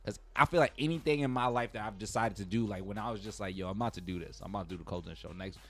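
A man's voice: on the low side, very fast. A young man from Brooklyn with a New York accent, hosting a podcast in a laid-back, slangy, profane way that turns hyped. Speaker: podcast host. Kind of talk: casual podcast conversation.

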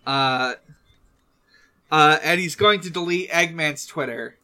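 A podcast co-host casually recapping a story among friends, in a halting way with drawn-out pauses. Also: medium pitch, sharp tone.

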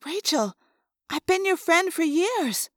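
Woman voicing an earnest, high-voiced young girl. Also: animated, slow pace, fairly bright tone, no room sound, clean studio sound, exaggerated voice. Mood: reassuring. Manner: earnest, pleading. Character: short young girl, loyal, worried, steadying friend.

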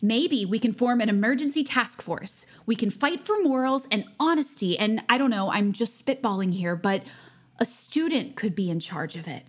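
High-pitched, self-righteous teenage schoolgirl. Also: normal speed. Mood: scheming, self-righteous. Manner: pushy, earnest, animated, self-righteous. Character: zealous, self-righteous high school senior girl, nosy and insistent. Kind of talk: audio drama acting.

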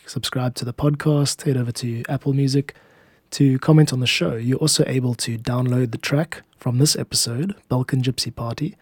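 A man narrates his podcast in a relaxed, mellow voice of medium pitch. His delivery is scripted and reflective, with deliberate phrasing. The sound is dry, with no room echo. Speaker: podcast host and composer.

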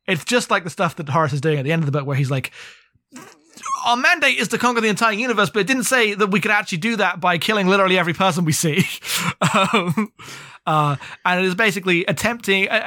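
A man co-hosting a podcast, chatting casually about a book with rambling, halting explanations. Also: high-pitched, pushed and bright, no room sound, clean studio sound. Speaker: podcast co-host.

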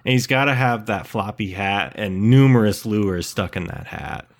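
A thirty-year-old man with a lowish voice talks in a relaxed, joking way, adding his own ideas to his friend's. He sounds easygoing and unhurried. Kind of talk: casual brainstorming chat.

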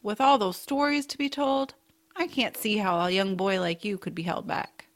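A woman playing a kindly, whimsical aunt in a family audio drama, speaking with gentle earnestness. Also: medium pitch, fairly even intonation, normal speed, natural voice. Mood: warm, encouraging. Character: kindly, whimsical aunt, bookish home cook.

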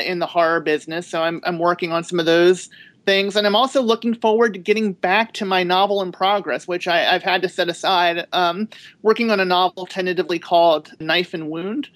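Reflective woman author, halting speech. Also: on the low side. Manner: reflective, halting with many restarts. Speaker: guest author. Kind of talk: podcast interview.

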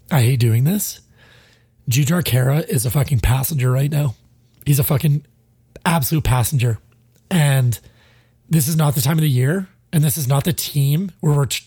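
A man venting on a casual sports podcast, blunt and exasperated, cursing as he breaks down a player's effort. His voice is gravelly and husky, a little stuffed up, with a dark, mellow tone. It is recorded close and dry. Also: mid-range voice, brisk, dry recording. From Canada. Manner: frustrated, blunt, swears freely. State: stuffy, sinusy. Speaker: podcast co-host.

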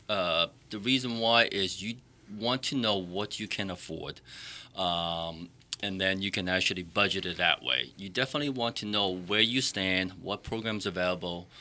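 A man's voice: on the low side, sharp tone. A man from the Boston area, a mortgage loan officer answering interview questions, hesitant and full of fillers and restarts. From Boston area, United States.

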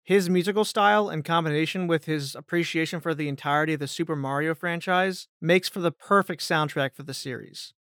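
High-voiced man chatting casually. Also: fairly quick, hardly any pauses, a bit bright, clear, resonant tone, dry recording, studio quality. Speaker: guest voice actor. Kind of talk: casual chat.

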